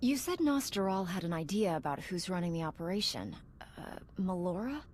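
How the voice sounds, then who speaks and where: A woman's voice: fairly low pitch, expressive, fairly quick, darkish tone, a little hiss or hum, lightly acted. A woman playing a composed, dutiful Jedi Knight commander in a video game, speaking in a level, direct and earnest way. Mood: focused, direct. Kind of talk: video game cutscene voice acting. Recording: clear music behind the voice.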